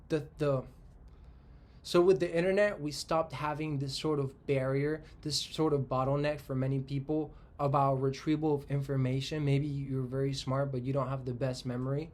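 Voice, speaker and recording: A man in his twenties from Spain talks through an idea in a casual solo monologue. He thinks out loud as he goes, restarts his sentences and often tags them with "right?". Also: mid-range voice. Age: 20s. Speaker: tech commentator.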